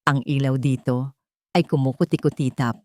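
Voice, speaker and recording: A woman's voice: a bass voice, steady tone, normal speed, darkish tone, no echo, studio quality. A woman with a Filipino accent reading a scripted story as a dramatic narrator.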